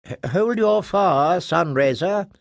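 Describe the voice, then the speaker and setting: A man's voice: high voice, animated, slow, creaky, dry recording, clean studio sound, pitched above the performer's own voice, full character voice. A man voicing an anxious elderly male official who makes hesitant, pleading appeals.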